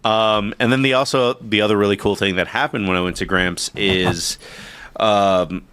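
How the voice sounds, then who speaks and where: A man's voice: lowish voice, fairly even intonation, normal speed, a bit bright, clear, resonant tone. A young man chatting casually as a podcast co-host, laughing often, swearing freely, and restarting his sentences. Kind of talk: casual chat.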